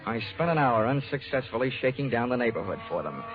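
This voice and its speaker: An actor playing a wisecracking hardboiled private investigator in 1940s radio style, delivering dry, wry, clipped quips. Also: male voice, medium pitch, big pitch swings, quick pace, natural voice. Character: wisecracking hardboiled male private investigator narrating his case. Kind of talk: 1940s radio detective acting. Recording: narrow, tinny 1940s radio recording with music.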